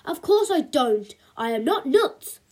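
A woman's voice: sits high, animated, fairly quick. Woman doing a snappy, scornful teenage girl. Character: sullen, scornful teenage girl, dismissive big sister.

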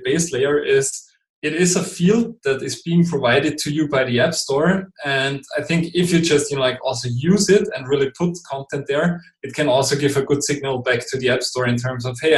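A man's voice: mid-range voice, roomy sound, rough recording. A man from Austria, a native German speaker with an Austrian German accent, explaining things as a guest in an interview, hesitant with many fillers and restarts. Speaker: guest expert. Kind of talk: podcast interview.

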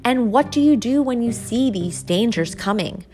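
A woman voicing a patient mother meadow mouse who quizzes her children gently. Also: a little high, animated, moderate pace, faint music behind, pitched above the performer's own voice, lightly acted. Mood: coaxing. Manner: gentle, questioning teacher.

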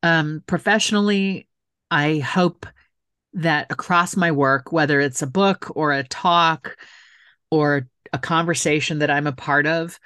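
A woman's voice: sits low, a little slow, fairly bright tone, clean tone, no echo, clean studio sound. A middle-aged woman speaking as an interview guest, emphatic and heartfelt, repeating phrases for stress. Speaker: guest, author and city council member. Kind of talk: earnest interview talk.

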